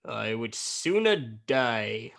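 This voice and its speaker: Bitter old male skinflint, played by an actor for radio drama; emphatic, contemptuous, widely varied pitch. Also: sing-song, slow, creaky, fairly bright tone, full character voice. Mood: cold, dismissive. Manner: scornful, emphatic, theatrical. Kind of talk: radio play acting.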